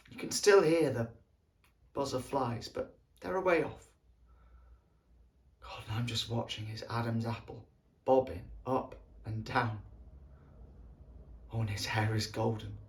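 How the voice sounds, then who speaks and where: A man playing a wistful British soldier of the First World War, reminiscing tenderly in an earthy, halting stage monologue. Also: mid-range voice. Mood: tender longing. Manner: colloquial, earthy, halting storytelling. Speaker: actor performing an audition monologue.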